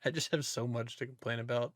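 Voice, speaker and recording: A man's voice: medium pitch, no room sound, clean studio sound. Impassioned man on a casual rant. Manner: impassioned, ranting, emphatic. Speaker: podcast co-host. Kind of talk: casual opinionated movie chat.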